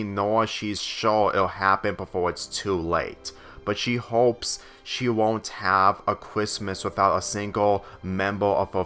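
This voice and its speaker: A man with a fairly low voice reads a narrated story over faint background music. His delivery is halting, and he now and then trips on a phrase and goes back over it. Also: a bit bright. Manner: halting, often stumbling and rereading phrases. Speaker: fan fiction reader.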